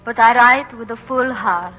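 Grieving mother, bright voice, long reverb. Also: on the high side. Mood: sorrowful, heartfelt. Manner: solemn, heartfelt, measured. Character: grieving Greek mother writing to her brother in America. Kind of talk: 1940s radio drama, letter narration. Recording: dull 1940s radio, long reverb, music.

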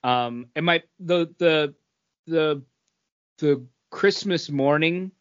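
A man talking informally as a co-host in a relaxed podcast conversation. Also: a little high, slow pace, smooth. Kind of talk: casual chat. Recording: slightly dull but dry recording.

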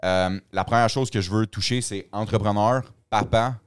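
A man's voice: on the low side, steady tone, normal speed, clean tone, no room sound, clean studio sound. A man with a Québécois accent hosting a podcast, asking questions in an eager, upbeat casual way. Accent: Québécois French. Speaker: podcast host.

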